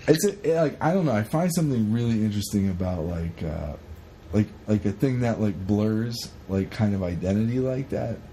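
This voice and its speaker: A man co-hosting a podcast, chatting casually in an animated, rambling, sweary way as he retells stories. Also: lowish voice, normal speed, slight background noise, low-quality recording. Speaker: podcast co-host. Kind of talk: casual chat retelling a historical story.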